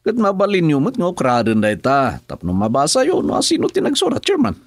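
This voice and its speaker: A man with an Ilocano Filipino accent playing a cheeky village watchman in a radio comedy, teasing in a drawn-out sing-song. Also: on the high side, wildly swooping pitch, no echo, studio quality, exaggerated voice. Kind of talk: radio drama comedy acting.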